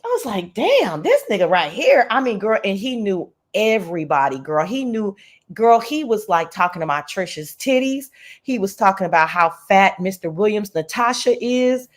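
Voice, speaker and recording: Animated, profane woman gossiping. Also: medium pitch, moderate pace. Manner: animated, profane, slangy storytelling, often digressing. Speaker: livestream host. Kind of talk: casual gossip livestream chat.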